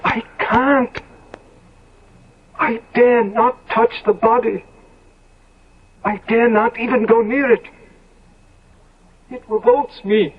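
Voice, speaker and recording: An actor playing a desperate, guilty young gentleman in a 1970s radio drama, with stammering, theatrical, pleading delivery. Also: extremely high pitch, steady tone, slow pace, long pauses, breathy edge, exaggerated voice. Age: young adult. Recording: dull, lo-fi old radio recording.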